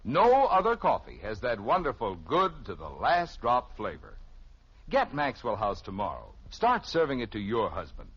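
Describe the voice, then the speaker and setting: A man announcing a 1950s radio commercial with folksy, emphatic salesmanship and a husky voice, on an old recording. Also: mid-range voice, wildly swooping pitch, normal speed, a bit bright, muffled recording. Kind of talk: 1950s radio advertising pitch.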